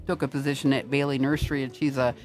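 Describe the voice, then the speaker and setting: A woman's voice: a bass voice, level tone, fast, darkish tone, faint music behind. Older woman giving chatty garden advice. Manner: chatty, many restarts, emphatic advice. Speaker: master gardener guest. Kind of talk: casual radio chat.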